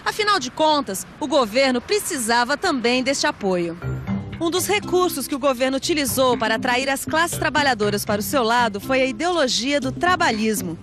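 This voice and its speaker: A woman's voice: high-pitched, lively intonation, normal speed, music in the background. A Brazilian woman presenting scripted educational narration.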